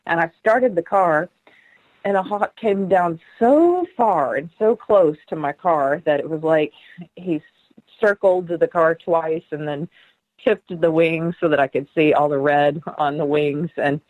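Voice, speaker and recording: A woman's voice: deep voice, darkish tone, dry recording, rough recording. Earnest, laughing middle-aged woman guest. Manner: earnest, laughs often, rushes through stories.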